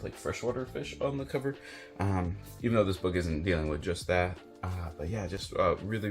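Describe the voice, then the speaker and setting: A man chatting casually and unscripted as a book reviewer, rambling and hesitant with lots of filler words. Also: low voice. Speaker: book reviewer and video host. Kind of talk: casual unscripted chat to camera about books.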